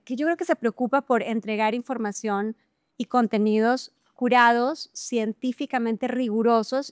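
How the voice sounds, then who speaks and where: A middle-aged woman hosts a podcast with effusive enthusiasm. Her voice sits on the higher side and sounds smooth and clean. It is recorded close and dry, with no room around it. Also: no room sound. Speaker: podcast host. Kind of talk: interview conversation.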